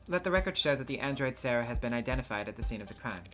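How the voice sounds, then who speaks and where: Woman acting a low-voiced, sharp, formal courtroom prosecutor. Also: animated, rapid-fire, faint music behind. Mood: formal, probing. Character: poised, formal courtroom prosecutor with dry barbs. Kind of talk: character acting in a visual novel playthrough.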